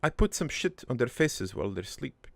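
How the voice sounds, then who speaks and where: Guy doing a gruff croaky army vet prankster for a cartoon, crude, halting matter of fact storytelling, really dry sound. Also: mid-range voice, sing-song, no room sound. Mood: proud, mischievous. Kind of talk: improvised in-character cartoon monologue.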